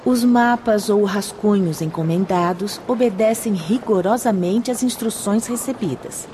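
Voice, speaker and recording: A mellow, dark-toned actress playing a female spirit guide speaks with a Brazilian accent over faint noise. She is composed and courteous, giving formal instruction in radio-play style. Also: medium pitch, unhurried, natural voice. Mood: calm explaining. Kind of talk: Brazilian radio drama acting.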